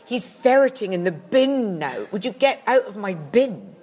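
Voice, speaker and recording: A woman's voice: medium pitch, very animated, moderate pace, darkish tone. A woman co-hosting a podcast in a shop, blunt, grumpy and sardonic, recorded on a phone. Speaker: podcast co-host. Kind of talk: bickering casual podcast chat. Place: busy charity shop. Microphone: phone held up by co-host.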